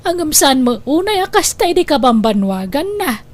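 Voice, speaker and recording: Village neighbour, woman actor: very high pitch, Ilocano accent, defiant gossip, comedy drama. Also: big pitch swings, moderate pace. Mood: gossipy, disapproving. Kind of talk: Ilocano comedy radio-style drama acting.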